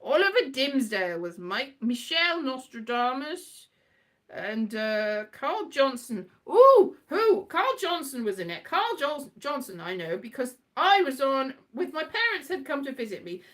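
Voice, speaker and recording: A middle-aged woman from Wales with a bright, fairly high voice talks off the cuff. Her pitch swings about freely as she drifts from one thought to the next, muttering little asides to herself in a scatty, casual monologue. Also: very animated, measured pace. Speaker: writer and performer, host.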